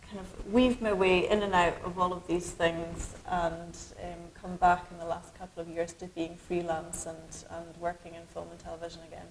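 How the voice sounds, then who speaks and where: A middle-aged Scottish woman, a film director, answering interview questions thoughtfully with many pauses and restarts. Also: lowish voice, little pitch movement, a little hiss or hum, rough recording. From Scotland. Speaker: documentary director.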